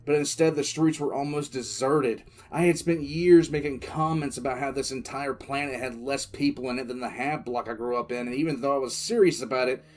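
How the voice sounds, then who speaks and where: A man playing a stern, battle-weary military commissar, telling his story in a confiding, dramatic first-person audio drama style with pauses. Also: medium pitch, a little mellow, natural voice. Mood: troubled, reflective. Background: music bed.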